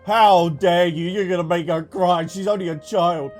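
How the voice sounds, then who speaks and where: A man's voice: high voice, steady tone, normal speed, soft background music. A man giving casual, reactive commentary as he plays through a game's story. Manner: chatty, reactive, exclamatory. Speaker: game streamer reacting to story dialogue.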